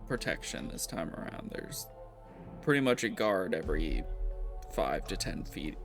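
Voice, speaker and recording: A man with a gravelly voice casually narrating a tabletop game as game master. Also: extremely low pitch, sing-song, measured pace, soft background music.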